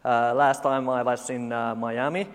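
Man, Finnish accent, forties, deadpan conference speaker, frequent fillers, reverberant hall. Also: medium pitch. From Finland. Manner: halting, many uh fillers, dry jokes. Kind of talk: conference talk with deadpan humor.

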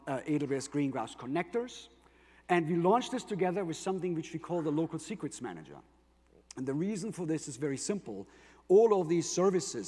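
Reverberant hall, male tech presenter, German accent, slightly high husky voice, explanatory delivery. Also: a little mellow. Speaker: conference presenter for a tech company.

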